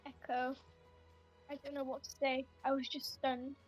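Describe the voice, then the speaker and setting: A woman improvising first-person roleplay for a game, haltingly narrating her own character's actions. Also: high voice, little pitch movement, soft background music.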